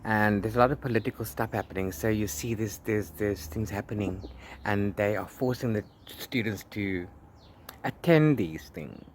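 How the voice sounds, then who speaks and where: A man talking casually as a book vlogger, rambling in a halting way with repeated words and restarts. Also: fairly low pitch. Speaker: book vlogger, read-along co-host. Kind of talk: casual rambling video diary about a book. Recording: clear background noise, ambient sound.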